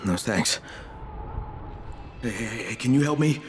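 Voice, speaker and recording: A man's voice: medium pitch, unhurried, faint music behind, close to an everyday voice. A man playing a tough, earnest undercover agent in video game dialogue. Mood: businesslike.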